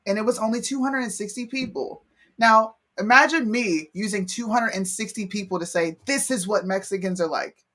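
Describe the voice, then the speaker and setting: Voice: medium pitch, normal speed, bright, forceful tone. A co-host from Pennsylvania talking bluntly and profanely in a casual debate on a talk show.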